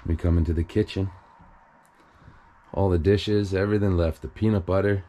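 Male vlogger, low-pitched and unhurried, casual walk-through narration on camera mic with some background noise. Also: fairly even intonation, dark tone. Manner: casual, talking to viewers while walking around. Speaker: host of an abandoned-places exploration channel. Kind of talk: casual exploring vlog narration. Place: on the move through a derelict property, RV, overgrown yard and house.

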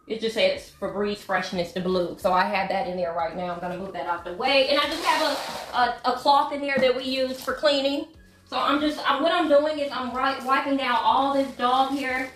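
A woman's voice: high-pitched, steady tone, moderate pace, a bit bright, slight background noise. A woman, chatting to her viewers while she cleans at home, easygoing and gossipy, calling them y'all. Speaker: vlogger. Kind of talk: casual chat while cleaning. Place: home living room with hardwood floor. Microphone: camera set up across the room. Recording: echoey room.

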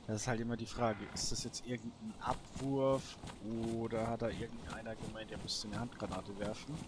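A man's voice: on the low side, level tone, normal speed. A man giving casual solo gameplay commentary, musing and muttering to himself as he thinks aloud. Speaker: gaming YouTuber, let's player. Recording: clear background noise.

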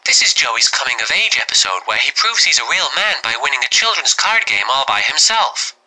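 A man's voice: medium pitch, big pitch swings, a little fast, bright, forceful tone, low-quality recording. A man voicing an earnest, naive and preachy teenage boy hero in a cartoon parody dub.

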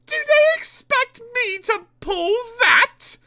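Very high, haughty lady diesel engine. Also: huge pitch swings, slow, bright, forceful tone, exaggerated voice. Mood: indignant, stubborn. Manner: theatrical, indignant, self-important. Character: vain, fussy, haughty female diesel rail car.

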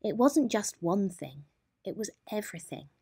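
A woman's voice: medium pitch, sing-song, moderate pace, warm tone, husky. A woman storyteller narrating a children's bedtime story.

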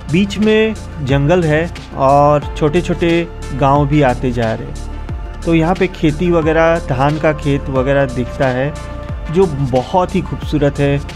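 A man with a fairly high voice talks viewers through a foggy, windy mountain pass in a casual travel vlog. He explains practical tips in a chatty, direct way, and music plays clearly behind him. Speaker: travel vlogger.

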